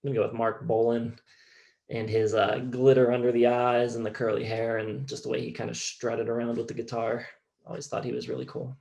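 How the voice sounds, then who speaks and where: A man co-hosting a music show, chatting casually in a measured, halting way. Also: mid-range voice, little pitch movement, creaky, darkish tone. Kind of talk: casual chat.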